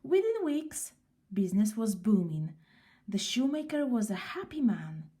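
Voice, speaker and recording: A woman reading a children's story aloud in an unhurried, expressive way. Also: fairly high pitch.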